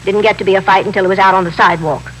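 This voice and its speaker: An actress in a 1950s radio drama playing a talkative, excitable middle-aged widow who runs a candy store, repeating herself in simple, slightly broken grammar. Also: female voice, medium pitch, racing, natural voice. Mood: animated, insistent. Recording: noisy vintage radio broadcast recording.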